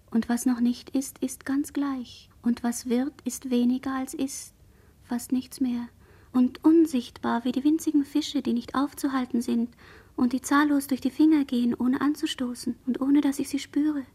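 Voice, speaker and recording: Hohe, hauchige Mädchenstimme, entrückt. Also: female voice, sits high, mellow, slightly rough. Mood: faint, resigned. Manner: fragmented, incantatory, often fading into whisper. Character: dazed girl, sole surviving witness, drifting in fragmented monologue. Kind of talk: German radio play monologue.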